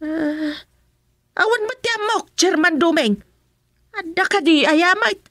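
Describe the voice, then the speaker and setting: A woman's voice: very high-pitched, a little slow, a bit bright, no echo, studio quality. A woman with an Ilocano accent playing a village councillor in a comedy radio drama, pleading and defensive.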